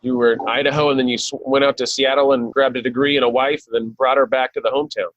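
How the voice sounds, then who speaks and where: Fast-talking chatty American man hosting a podcast. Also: medium pitch, little pitch movement, fairly bright tone, dry recording, lo-fi sound. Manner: chatty, rambling, joking. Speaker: podcast host, interviewer. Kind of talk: casual chatty interview.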